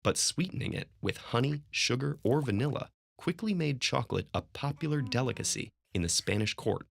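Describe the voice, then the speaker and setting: A man giving scripted narration for an educational video in a smooth, measured storytelling way. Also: mid-range voice, expressive, dry recording, studio quality. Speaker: documentary narrator.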